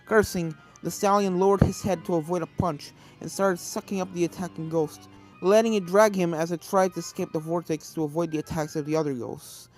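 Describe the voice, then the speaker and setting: A woman's voice: on the low side, a little fast, a little mellow. A woman reading a story aloud as scripted narration, with music playing behind her. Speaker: fan fiction reader.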